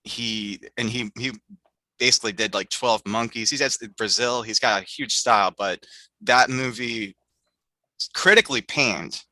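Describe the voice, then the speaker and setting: A man's voice: mid-range voice, measured pace, bright, forceful tone, dry recording, rough recording. A man hosting a casual movie podcast, who rambles and fills his talk with many fillers. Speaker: podcast host. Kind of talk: casual chat.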